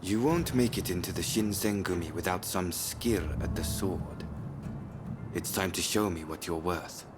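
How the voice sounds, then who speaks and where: A man playing a stern, reserved samurai swordsman in a video game, speaking in a measured, curt and formal way. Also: low-pitched, lightly acted. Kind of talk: dramatic video game acting. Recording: loud music behind the voice. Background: loud game soundtrack music.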